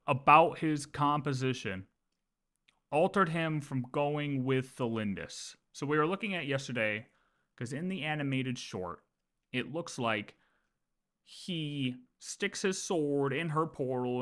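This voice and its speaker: A man's voice: medium pitch, a bit bright. A man livestreaming casual game lore commentary, thinking aloud and often repeating his words as he works through ideas.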